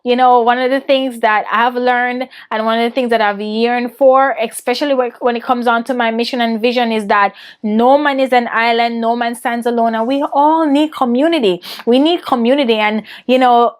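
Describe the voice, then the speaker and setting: Upbeat Jamaican woman coach, fairly high voice. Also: clear, resonant tone. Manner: earnest, motivational, laughs often. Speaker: interviewee, coach for women. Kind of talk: answering interview questions.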